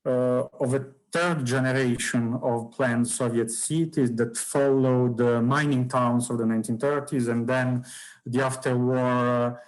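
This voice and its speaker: A man, a book author whose first language is Italian, answering audience questions in a halting academic way with many fillers and restarts. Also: medium pitch, level tone, rough recording.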